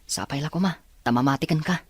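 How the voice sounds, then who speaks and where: Husky lovelorn young male student played by woman. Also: deep voice, quick pace, no echo. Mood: determined. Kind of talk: Filipino audio drama dialogue in Ilocano.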